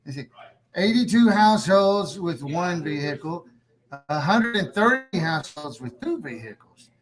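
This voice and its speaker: Man doing a high-voiced, folksy, rambling small-town official. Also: sing-song, moderate pace, rough recording. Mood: delighted, fascinated. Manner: folksy, rambling, repeats himself. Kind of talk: live table read of a sitcom script.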